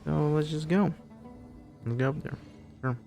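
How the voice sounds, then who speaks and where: A man's voice: fairly high pitch, unhurried, gravelly, dark tone, soft background music. A man giving casual live commentary while streaming a game.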